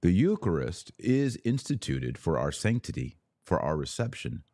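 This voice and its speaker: A man hosting a religious commentary podcast, speaking emphatically and deliberately, pausing to stress key words. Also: sits low, big pitch swings, mellow, no echo. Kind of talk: opinionated commentary monologue.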